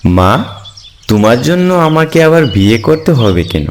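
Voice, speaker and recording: A man voicing a devoted, earnest adult son in an animated folk tale, speaking emphatically. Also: medium pitch, unhurried. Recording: slight background noise.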